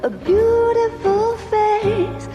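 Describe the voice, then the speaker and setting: High-voiced woman singing a slow club song. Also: fairly even intonation, muffled recording. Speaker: singer. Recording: loud music behind the voice.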